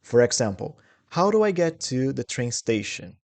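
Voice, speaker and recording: A young man with a Brazilian Portuguese accent teaching English travel phrases slowly and clearly, repeating each model sentence. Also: mid-range voice, very animated, darkish tone. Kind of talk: English lesson.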